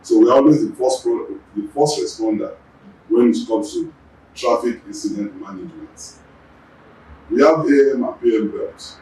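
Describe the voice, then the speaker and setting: A Yoruba-speaking Nigerian man, a traffic agency's general manager, giving measured interview answers and often repeating words as he gathers his thoughts. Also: mid-range voice, dark tone, recorded from a distance. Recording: echoey room, voice off-mic.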